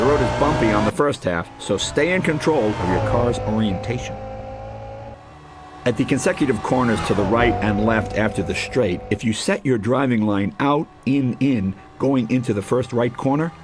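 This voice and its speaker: A man with a mid-pitched voice delivers scripted racing-game tutorial narration. He speaks in a measured, instructive way, like a driving coach. Loud music plays over the recording along with car sounds. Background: loud music, car engine sounds.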